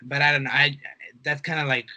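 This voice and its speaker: A man's voice: on the high side, level tone, fairly quick, sharp tone. A man in his twenties giving a casual interview, telling stories informally and quoting his own thoughts. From United States, raised there from age three after birth in Mexico. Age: 20s. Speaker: interview guest, reseller. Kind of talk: casual chat.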